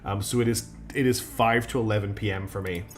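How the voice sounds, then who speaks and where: A man's voice: lowish voice, fairly even intonation, brisk. A man streaming a strategy game live. He thinks aloud in a chatty way, with many ums and restarts, and his nose is slightly blocked.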